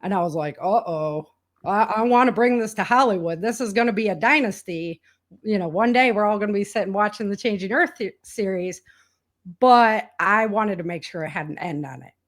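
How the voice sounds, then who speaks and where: A woman's voice: mid-range voice, moderate pace. A woman speaking as an enthusiastic, chatty interview guest, in a casual conversational style. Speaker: interview guest, indie author and podcaster.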